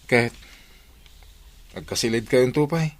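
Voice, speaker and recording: A man's voice: medium pitch, lively intonation, a little slow, lightly acted. A man with a Filipino accent playing a nosy, teasing young friend in a radio drama. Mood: teasing, questioning. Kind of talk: Ilocano radio drama acting. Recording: music under the voice.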